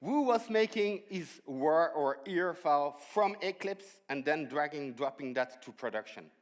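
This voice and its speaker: A man's voice: on the high side, expressive, measured pace. A middle-aged man with a French and Dutch accent, co-presenting a conference talk on stage in a casual, joking way. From France and the Netherlands. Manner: casual, jokey interjections. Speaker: conference speaker. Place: large dark conference hall stage.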